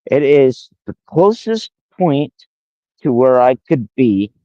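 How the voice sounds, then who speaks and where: A man's voice: medium pitch, sing-song, takes it slowly, mellow, no echo. Man, 40s, rambling livestreamer who repeats himself; dead dry, muffled lo-fi sound. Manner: rambling, addresses a viewer by name, repeats himself. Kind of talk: casual livestream talk.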